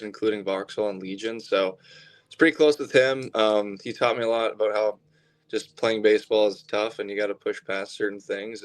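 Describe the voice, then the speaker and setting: A young man with a fairly low voice. He talks like an athlete in a relaxed interview, candid and reflective, often checking in with small conversational tags. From southern Alberta, Canada. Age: 20s. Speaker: baseball pitcher being interviewed. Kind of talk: casual interview chat.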